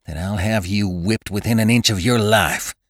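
A man voicing a stern, fanatical male church elder who gives orders in a raised, forceful voice. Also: fairly low pitch, normal speed, no echo, studio quality, voice pushed up, lightly acted. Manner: raised, forceful, commanding delivery.

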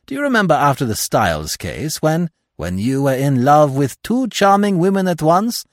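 Earnest lovestruck male sidekick read by a man, self-conscious, quick to indignation, highly animated delivery, dry audiobook sound. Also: no room sound. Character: Poirot's male friend, earnest, susceptible, easily shocked romantic. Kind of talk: audiobook character voice.

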